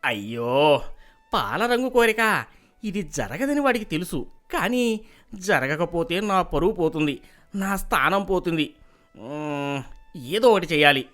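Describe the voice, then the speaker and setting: A cunning, money-hungry village priest from a cartoon moral tale, with a voice that swings widely up and down in pitch. He blusters and wheedles, turning to pleading when he is caught out. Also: sing-song, a little slow, pauses often, fairly bright tone. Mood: worried. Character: scheming greedy village priest, middle-aged man. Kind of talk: animated cartoon voice acting.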